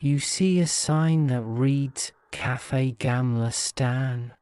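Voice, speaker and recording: Slow, smooth man narrating a sleep story. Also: mid-range voice, a little mellow, no echo. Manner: soothing, measured.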